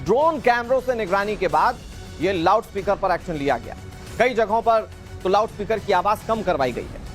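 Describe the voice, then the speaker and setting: Male news anchor, high-pitched, presenting and moderating over faint music.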